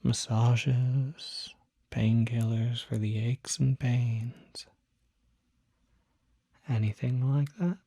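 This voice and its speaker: A performer voicing a calm, courteous demon doctor in an ASMR roleplay, whispering softly with a measured, reassuring, formal bedside manner. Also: medium pitch, no echo, heavily acted. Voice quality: breathy, whispery.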